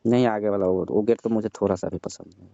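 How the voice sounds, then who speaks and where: A man on a phone call who sounds grumbling and argumentative, with a mid-pitched, dark voice. The line is muffled and dry, like a recorded phone call. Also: fairly even intonation, quick pace, gravelly, dry recording. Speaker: caller. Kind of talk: scripted comedy phone call.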